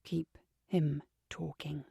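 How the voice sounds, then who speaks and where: A wary, protective man in an audiobook thriller, played by a woman narrator. His voice sits low and hushed, with an uneasy caution to it. The sound is dry and close. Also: steady tone, moderate pace, dry recording, natural voice. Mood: whispering.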